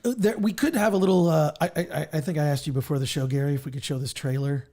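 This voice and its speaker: A man with a rough voice, chatting casually on a livestream and often stumbling over his words. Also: a little high, steady tone, warm tone. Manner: halting, stumbles and repeats words. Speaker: guest co-host sharing a trailer.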